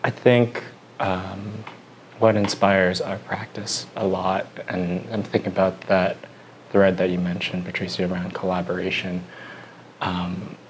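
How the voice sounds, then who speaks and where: A man born in Thailand answers interview questions about his art. His voice is very deep, and he hesitates often, leaning on fillers between phrases. Faint background ambience sits under the speech. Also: a little hiss or hum, rough recording. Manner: soft-spoken, hesitant, many fillers. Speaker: artist.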